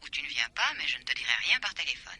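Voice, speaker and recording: A woman's voice: extremely low pitch, big pitch swings, rapid-fire, bright, forceful tone, husky, exaggerated voice. An actress in a French radio mystery drama playing an insistent, commanding woman who teases and keeps secrets. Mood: insistent, secretive.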